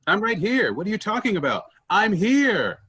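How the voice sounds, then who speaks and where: A man acting in a staged play reading as an earnest, wistful man searching for his vanished sibling, with a husky voice. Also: high voice, sing-song, normal speed, a bit bright, heavily acted. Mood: defensive, flustered.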